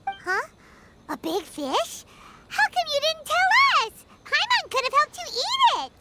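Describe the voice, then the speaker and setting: A woman voicing a tiny, childlike, excitable fairy companion with a squeaky voice in an anime game. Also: slow, a little hiss or hum, exaggerated voice. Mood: surprised. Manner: exclaiming, third-person self-reference.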